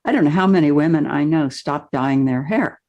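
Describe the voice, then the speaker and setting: A woman's voice: low-pitched, lively intonation, normal speed, darkish tone, highs cut off. A woman hosting a podcast interview, speaking thoughtfully and often restarting her sentences. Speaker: podcast host. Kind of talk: interview conversation.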